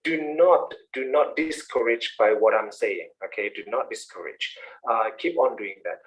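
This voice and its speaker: Encouraging Indonesian-accented English instructor. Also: male voice, on the low side, animated, normal speed, poor audio. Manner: explanatory, encouraging. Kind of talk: answering questions in an online class. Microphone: online video call.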